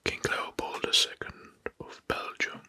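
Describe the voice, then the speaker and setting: A man whispering an ASMR history narration in a breathy, mostly unvoiced voice, pausing between short phrases and often repeating words. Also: bright, forceful tone. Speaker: ASMR host presenting history facts. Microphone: close microphone.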